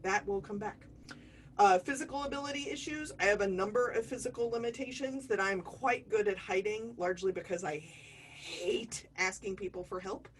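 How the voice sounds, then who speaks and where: An American woman in her forties leads an online training course, talking the way she would to a room of colleagues. Her voice sits at a middle pitch. She wanders into quick, self-mocking asides and jokes, then comes back to her point. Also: slight background noise. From United States. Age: 40s. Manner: chatty, self-deprecating, jokey asides. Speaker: diversity trainer.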